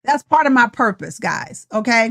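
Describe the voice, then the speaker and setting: A woman's voice: on the high side, very animated, normal speed, creaky, fairly bright tone. A sixty-year-old woman coach, giving a passionate, tired, preachy live talk straight to her viewers. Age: 60s. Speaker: life coach. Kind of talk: motivational live-stream talk.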